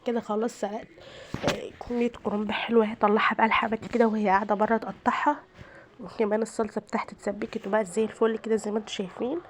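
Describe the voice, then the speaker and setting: Woman, rural Egyptian accent, tired voice, rapid talk, cooking vlog in a home kitchen. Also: mid-range voice, flat delivery, a little hiss or hum. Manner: chatty, explains each step to viewers. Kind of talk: casual cooking narration.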